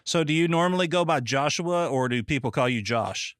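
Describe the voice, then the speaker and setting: A man hosting a talk show and interviewing a guest with short, relaxed questions. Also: fairly high pitch, fairly quick, clear, resonant tone, dry recording, studio quality. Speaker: podcast host.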